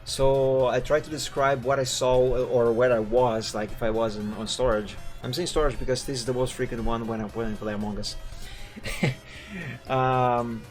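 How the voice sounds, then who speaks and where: Guy chatting on his art stream at home, kinda rambly, lots of ums, soft music playing under him. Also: mid-range voice, steady tone, normal speed. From Brazil. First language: Portuguese. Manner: rambling and chatty, frequent fillers and self-corrections. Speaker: livestreamer drawing live art. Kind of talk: casual stream chat.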